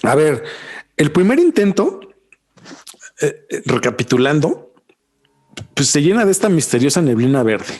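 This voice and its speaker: A man's voice: fairly high pitch, sing-song, a little slow, long pauses, a little mellow. A man with a Mexican Spanish accent hosting a podcast, talking casually with a lot of slang and swearing. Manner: slangy, swears a lot, says "güey" constantly. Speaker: podcast host. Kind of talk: casual chat.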